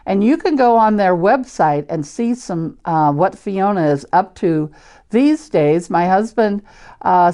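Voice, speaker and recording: Older female picture-book reader, Midwestern US accent. Very measured pace, animated intonation, chatty with young listeners. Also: on the low side. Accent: American Midwestern. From Iowa, United States. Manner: simple, deliberate wording, asks listeners questions. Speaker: church storyteller reading picture books to children. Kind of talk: children's read-aloud with chatty asides.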